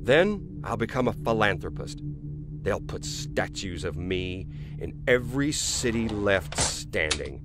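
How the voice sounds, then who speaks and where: An actor playing a greedy, ruthless corporate boss in an audio drama, smug, sardonic and profane. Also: male voice, lowish voice, very animated, measured pace, over music. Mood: angry, gloating. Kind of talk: dramatic radio play acting.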